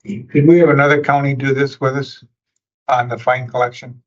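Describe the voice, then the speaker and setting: A man chairs a county board session, matter-of-fact and businesslike. His voice stays very flat and barely moves in pitch. The meeting-room recording sounds dull and lo-fi. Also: normal speed.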